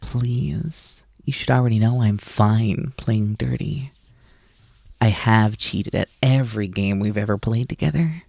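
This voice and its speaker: Unhurried, teasing snake-demon roommate, acted out by an asmr performer, playful and bossy, really dry close sound. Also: mid-range voice, gravelly, dark tone, no room sound. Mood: mischievous, scheming. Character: mischievous, teasing naga snake-demon roommate, coaxing and dominant. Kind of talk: ASMR roleplay.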